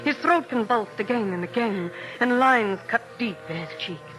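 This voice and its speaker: A woman narrating a scripted vintage radio drama in a measured, suspenseful, literary manner. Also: fairly high pitch, animated, fairly bright tone, poor audio. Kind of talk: dramatic scripted radio storytelling, 1940s-50s. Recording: old radio broadcast, music behind.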